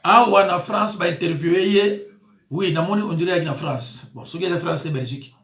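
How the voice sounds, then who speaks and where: A man's voice: high voice, normal speed, a bit bright, reverberant. A man, a pastor giving emphatic, indignant commentary on news clips. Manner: emphatic, indignant, with rising exclamations.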